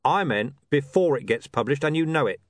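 A gruff, commanding police chief, voiced by a male narrator for a storybook. He is curt, suspicious and quick to swear, with the voice pushed up high and swinging widely in pitch. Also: animated, dry recording, close to an everyday voice. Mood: suspicious, irritable.

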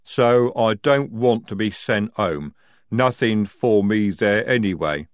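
A simple, bashful female troll, acted by a male narrator for a storybook. She talks slowly in plain, ungrammatical phrases, in an altered timbre. The sound is very dry. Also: on the low side, animated, no echo. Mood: bashful, stubborn. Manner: slow, plain-spoken, ungrammatical.

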